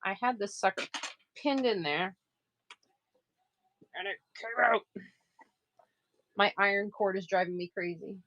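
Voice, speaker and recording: A woman's voice: mid-range voice, unhurried, long pauses, a bit bright. A woman with a light Maine accent chats to viewers while she sews, laughing often at her own plans. Her voice sits in a middle range. The sound is dry and close, with little room echo. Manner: chatty, laughs often, self-mocking asides; talks while working, moving around the table. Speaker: quilter streaming live sales. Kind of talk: casual live-stream sewing chat.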